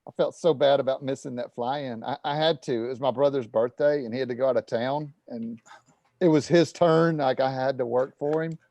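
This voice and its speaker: A man's voice: medium pitch, fairly even intonation, fairly quick, warm tone, no echo. A man talking as a guest on a live-streamed show, telling a story in an easygoing, rambling way. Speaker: guest pilot. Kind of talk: casual live-stream chat.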